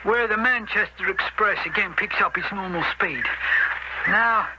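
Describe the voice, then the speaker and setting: An actor playing an eager, deferential police assistant in a radio drama, earnest and slightly stammering. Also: male voice, sits high, measured pace. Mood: helpful. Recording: very noisy old radio recording.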